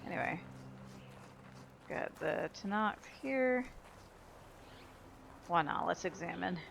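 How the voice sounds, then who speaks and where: A woman's voice: fairly low pitch, lively intonation, slow, creaky, a bit bright, slight background noise. A woman giving casual video game commentary, thinking aloud as she plays.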